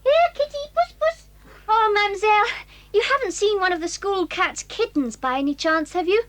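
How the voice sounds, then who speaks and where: A woman's voice: very high-pitched, animated, moderate pace. An actress playing a playful, girlish English schoolgirl with an earnest manner in a children's audio drama. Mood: mock concern. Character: playful English schoolgirl feigning worry over a kitten.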